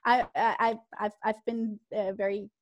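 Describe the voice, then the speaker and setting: A woman's voice: on the high side, lively intonation. A German-born woman in her thirties with a German accent, answering interview questions frankly, laughing easily and restarting her sentences. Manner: frank, self-deprecating, laughs often, halting restarts. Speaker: guest, real estate agent.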